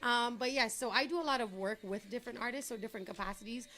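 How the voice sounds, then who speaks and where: A woman's voice: fairly high pitch, fast talker, pushed and bright, low-quality recording. Upbeat talkative Trinidad-born woman. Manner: upbeat, talkative, laughs easily. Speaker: entrepreneur guest. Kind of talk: casual interview chat.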